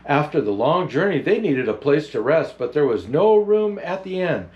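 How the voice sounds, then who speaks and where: Kindly old Santa storyteller, played by a man: unhurried, grandfatherly, very animated pitch, children's picture book read-aloud. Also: highs cut off. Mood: gentle storytelling.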